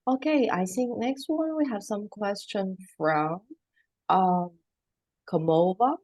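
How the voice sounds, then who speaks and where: A woman moderating an online Q&A, polite and measured, with some hesitant fillers. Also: mid-range voice, a little mellow, no room sound. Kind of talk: webinar moderation. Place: online video call.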